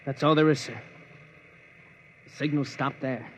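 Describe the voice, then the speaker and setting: A man's voice: mid-range voice, fairly even intonation, measured pace, natural voice. A man playing an earnest, deferential ship officer. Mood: subdued. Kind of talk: 1950s radio drama acting. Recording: old radio broadcast with faint hiss.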